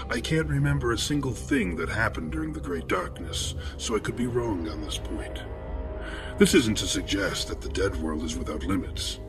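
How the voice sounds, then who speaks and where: An actor playing a serene, philosophical serial killer who narrates horror fiction in measured, florid, formal phrases. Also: male voice, low voice.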